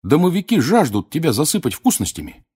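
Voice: medium pitch, very animated, brisk, a little mellow, husky, pitched above the performer's own voice. A storybook reader voicing a friendly, generous teenage boy with an easygoing, chatty way of talking.

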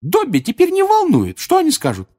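Little male house-elf, high squeaky voice, breathy, timid yet excitable, servile, very animated, storybook character. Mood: defiant. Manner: halting, servile, speaking of himself in third person.

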